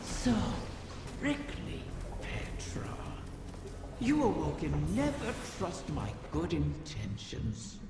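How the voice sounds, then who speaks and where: Slow, echoing ancient dragon schemer. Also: male voice, sits high, wildly swooping pitch, gravelly, noisy background, full character voice. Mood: silky, tempting. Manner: slow, menacing, silky and theatrical. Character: ancient cunning dragon-like wish-granting creature, tempting and vengeful. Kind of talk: scripted video game dialogue. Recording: cavernous long reverb, music behind. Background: game music.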